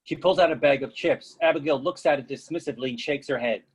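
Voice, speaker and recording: A man's voice: a little high, quick pace, slightly rough. A man giving scripted narration as the reader of a play's stage directions.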